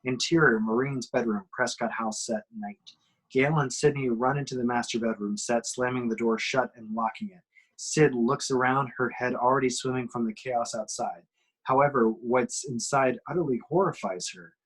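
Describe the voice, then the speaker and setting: A man's voice: medium pitch, fairly even intonation, normal speed, breathy edge, low-quality recording. A man giving emphatic, suspenseful scripted narration as he reads action descriptions at an amateur table read.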